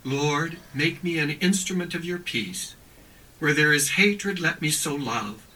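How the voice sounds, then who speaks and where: A man recites a prayer in measured phrases, as a priest. His voice is bright and a little high, picked up slightly away from the microphone. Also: recorded from a distance, poor audio.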